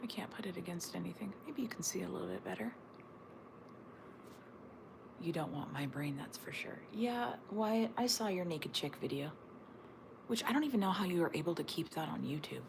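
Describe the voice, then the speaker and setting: Woman half-whispering on a morning livestream, gravelly froggy voice, rambly and jokey, lots of hiss behind her. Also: on the low side, animated, normal speed, pauses often. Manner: hushed, half-whispered talk, rambling and joking. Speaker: livestream host reading chat. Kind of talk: casual morning livestream chat. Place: home with others asleep. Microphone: phone or camera on a tripod.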